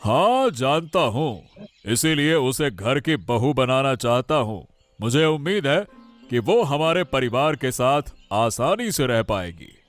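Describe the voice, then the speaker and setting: Unhurried, resolute village father played by a man. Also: medium pitch, big pitch swings, no room sound, faint music behind. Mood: assured. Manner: firm, reasoning, emphatic. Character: resolute middle-aged village father and household head. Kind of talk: Hindi cartoon moral story dialogue.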